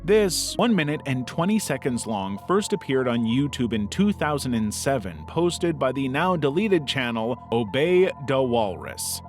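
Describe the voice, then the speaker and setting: A man's voice: medium pitch, very animated, a little slow, few pauses. A man reading a scripted video essay as its narrator. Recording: music under the voice. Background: background music.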